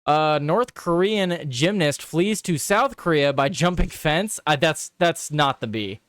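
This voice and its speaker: A man's voice: on the high side, expressive, a little slow, fairly bright tone, no echo. A man hosting a live political show, reading headlines aloud and chatting casually with his viewers, swearing and repeating himself as he reacts.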